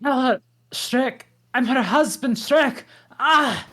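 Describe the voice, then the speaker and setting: A woman reading a big, gruff male ogre in a live table read, shouting and pleading. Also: sits high, takes it slowly, exaggerated voice. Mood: desperate.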